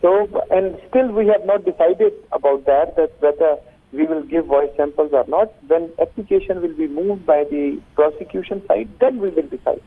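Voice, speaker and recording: Over a thin, phone-like line comes a Pakistani-accented man with a fairly high voice. He is a lawyer giving formal legal argument, halting and hesitant as he goes. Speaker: defence lawyer, interview guest.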